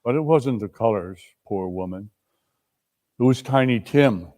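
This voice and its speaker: A male storyteller reads dramatically in a solemn, deliberate manner. His mid-range voice carries theatrical phrasing and rings with the long reverb of a hall. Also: mid-range voice, warm tone. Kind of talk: dramatic reading of a classic story.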